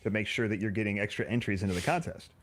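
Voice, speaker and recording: A man's voice: fairly low pitch, racing. A man co-hosting morning radio from home, cracking dry quips and reading the weather forecast. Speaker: weather presenter and co-host. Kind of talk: casual morning radio banter. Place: at home, remote.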